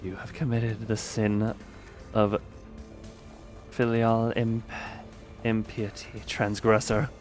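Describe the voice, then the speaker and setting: A man voicing an imperious, contemptuous ancient blood fiend from a game in a slow, solemn, menacing manner. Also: on the low side, completely flat delivery, darkish tone, faint music behind. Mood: cold contempt. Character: imperious, contemptuous ancient vampire-like elder, low and imposing.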